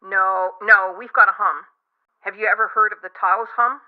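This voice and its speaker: A woman's voice: mid-range voice, steady tone, moderate pace. Thin telephone sound carries a nervous female caller in a radio play. She is earnest and insistent, a true believer in conspiracies phoning in to the host. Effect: telephone filter. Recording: tinny, narrow phone line.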